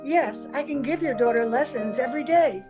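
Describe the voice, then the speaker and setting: A woman's voice: high voice, moderate pace, natural voice. A woman playing a strange, weary, evasive young woman in an old-time radio drama. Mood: anxious denial. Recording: home video-call audio, music behind.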